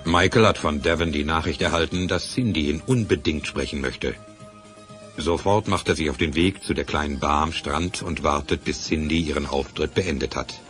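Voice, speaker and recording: A man's voice: very deep voice, moderate pace, music in the background. A man as the composed, slightly wry narrator of a scripted crime adventure radio play.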